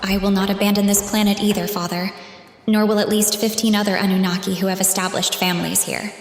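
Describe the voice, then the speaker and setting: A woman's voice: medium pitch, flat delivery, fast, lightly acted. A woman playing a proud, fierce goddess daughter, firm and indignant as she declaims in an audio drama. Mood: defiant, scornful. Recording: echoey hall with long reverb, faint music.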